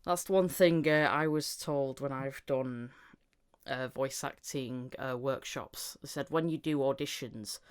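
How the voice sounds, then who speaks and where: A woman's voice: extremely low pitch, a little slow, smooth. A woman hosting a casual, chatty interview, often telling things through bits of reported dialogue. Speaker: podcast host interviewing a voice actor. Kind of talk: casual chat.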